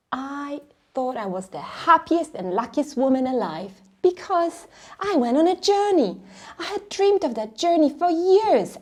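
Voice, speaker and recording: A middle-aged woman hosting a children's read-along, telling stories in an expressive, dramatic way that sometimes drops into a hush. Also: sits high. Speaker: host of a children's read-along and craft show. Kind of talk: animated storytelling for children.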